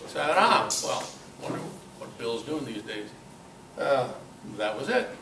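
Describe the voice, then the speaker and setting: An older man giving an informal club talk, halting often and adding conversational asides. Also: mid-range voice, very animated. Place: club meeting room. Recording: echoey noisy room, distant mic.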